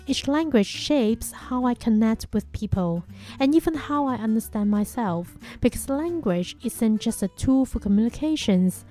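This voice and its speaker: A young woman whose first language is Chinese, a podcast host giving a calm, measured, reflective monologue. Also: on the high side.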